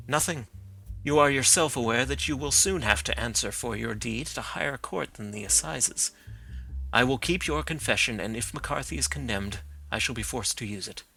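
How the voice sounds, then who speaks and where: A man voicing a grave, thoughtful male detective who speaks in a measured, solemn way. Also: mid-range voice, vocal fry, bright, forceful tone, poor audio.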